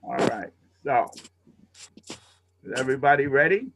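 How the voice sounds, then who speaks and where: A man's voice: mid-range voice, big pitch swings, a little slow, background noise. A man instructing a class in a casual, patient, step-by-step way over a video call. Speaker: instructor leading a radio training class. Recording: muffled, roomy video call audio.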